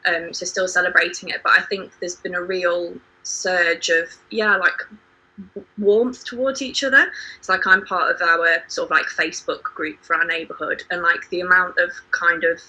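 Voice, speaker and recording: A woman talks casually in a group discussion. Her voice is very bright with a breathy husk, and her pitch stays fairly even as she rambles conversationally. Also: moderate pace, low-quality recording. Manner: conversational, frequent "like" and "you know". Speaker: theatre company performer on a panel. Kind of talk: casual chat.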